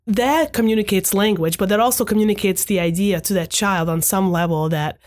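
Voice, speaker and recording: A woman in her thirties whose first language is Hungarian, a podcast guest speaking in a measured, reflective way and often checking in with "right?". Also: medium pitch, darkish tone. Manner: thoughtful, measured, frequent "right?" tags. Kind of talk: reflective conversational talk.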